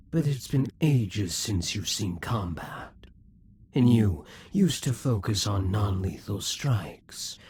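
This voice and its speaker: A man voicing a possessive, scheming demon king in an audio roleplay, speaking slowly and condescendingly. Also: on the low side, a little mellow, husky, exaggerated voice. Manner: condescending, slow and musing.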